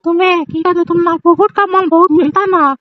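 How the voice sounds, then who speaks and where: Lady caller, super high voice, dull lo-fi phone recording, chatting informally. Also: fairly even intonation, fairly quick, dry recording.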